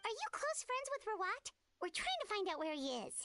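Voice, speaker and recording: Squeaky chirpy little fairy companion. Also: female voice, big pitch swings, brisk, sharp tone, a little hiss or hum, heavily acted. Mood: bright, curious. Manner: chirpy, childlike, blunt. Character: small childlike fairy companion, chirpy, easily exasperated. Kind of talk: anime-style video game voice acting. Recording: music behind the voice.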